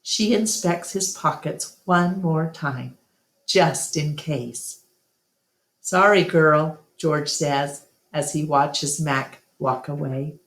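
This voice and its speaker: A woman reading a storybook aloud as narrator, deliberate and expressive. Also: low-pitched. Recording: echoey, reverberant room.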